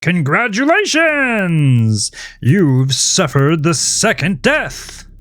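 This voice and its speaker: Manic, high-pitched carnival showman. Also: very animated, extremely slow, a bit bright, no echo, clean studio sound, exaggerated voice. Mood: mocking glee. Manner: exuberant, theatrical announcer-like cheer. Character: manic, gleeful male carnival host tormenting the damned. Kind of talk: horror audio fiction character voice.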